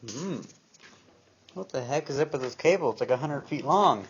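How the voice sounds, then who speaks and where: A man's voice: mid-range voice, animated, moderate pace. Dull noisy recording, man musing casually. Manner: musing aloud to himself while handling gear. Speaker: vlogger showing a collection of tape recorders. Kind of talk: casual unscripted show-and-tell. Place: indoor room at home. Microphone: handheld camera microphone. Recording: dull, noisy handheld camera sound with clicks.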